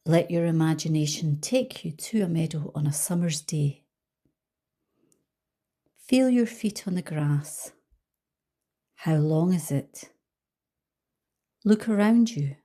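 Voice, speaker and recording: A woman leading a guided visualisation as a coach, speaking calmly and gently with soft trailing phrases. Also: lowish voice, takes it slowly, long pauses. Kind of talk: guided meditation narration.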